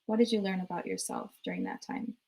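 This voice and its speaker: A woman's voice: medium pitch, very animated, fast, gravelly, a little mellow. A woman moderating a panel discussion who speaks encouragingly and uses many fillers.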